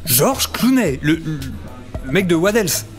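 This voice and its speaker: A man playing a bewildered ordinary employee in a comedy audio drama, halting and stammering. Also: fairly high pitch, very animated, faint music behind. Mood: puzzled.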